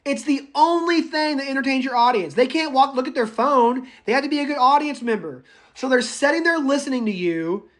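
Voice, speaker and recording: A raspy-voiced male public speaking instructor lecturing a live online class, speaking loudly without a microphone, chatty and prone to tangents. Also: very high voice. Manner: speaks loudly, projecting as if shouting; enthusiastic, goes off on tangents and laughs. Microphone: no microphone, voice caught by the room recorder.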